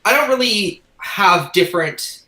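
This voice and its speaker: A man's voice: high-pitched, expressive, a little slow, bright, forceful tone, roomy sound, poor audio. A Canadian man talking casually as a podcast guest, hesitant, with many fillers and restarts. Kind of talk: casual chat.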